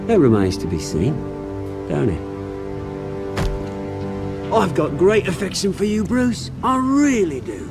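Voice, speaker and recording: Over prominent music, a bitter British villain, a young fallen aristocrat turned revolutionary. His delivery swings widely in pitch, slangy and theatrical. He sits a little high for a man, insinuating menace in a video game style. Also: huge pitch swings, a little slow, pauses often, full character voice. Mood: quiet menace. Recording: music behind the voice.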